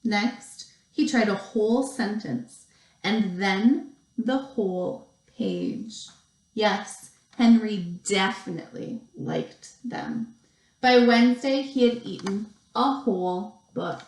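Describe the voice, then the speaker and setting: A woman teacher reading a picture book aloud to young children, expressively, with deliberate pauses and stretched emphasis. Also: mid-range voice, sing-song, a little mellow. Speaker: second grade teacher. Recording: roomy, echoey sound.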